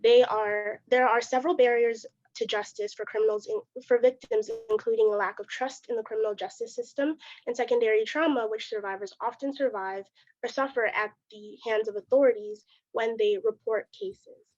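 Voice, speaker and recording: Young woman reading slides, voice a bit high, muffled lo-fi call audio, some restarts. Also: fairly even intonation, moderate pace, a little mellow. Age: young adult. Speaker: youth ambassador presenter. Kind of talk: reading a prepared presentation. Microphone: video call.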